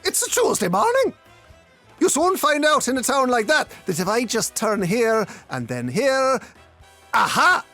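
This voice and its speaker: A man's voice: very high-pitched, big pitch swings, a little slow, bright, forceful tone, faint music behind, heavily acted. A man acting a pedantic, self-satisfied, rule-abiding detective inspector in a comic audio drama, with a pompous manner. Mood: smug, unflappable. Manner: pompous, pedantic, drops in mangled French.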